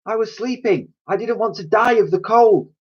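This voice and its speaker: A man's voice: high-pitched, moderate pace, breathy edge, voice pushed up. A man voicing a plucky, blunt young orphan urchin girl in a storybook reading. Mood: nervous. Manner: blunt, questioning, colloquial. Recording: dull lo-fi recording.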